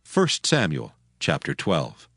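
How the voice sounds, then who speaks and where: A man's voice: extremely low pitch, huge pitch swings, slow, fairly bright tone, husky. A man narrating a dramatized scripture audiobook in a scripted storytelling style.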